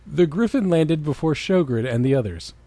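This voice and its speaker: A man's voice: fairly high pitch, expressive, normal speed, warm tone. A man hosting a comic dramatic story reading, narrating with sardonic, sweary banter. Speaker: podcast host and reader.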